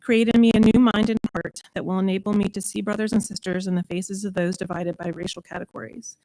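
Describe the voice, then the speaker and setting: A woman's voice: mid-range voice, warm tone, dry recording, rough recording. A woman service leader reading a prayer of meditation to a congregation in church.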